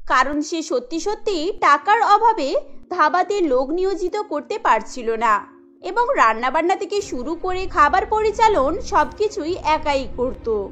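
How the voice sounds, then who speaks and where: A woman narrating a cartoon story in a steady storytelling manner. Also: very high voice, measured pace.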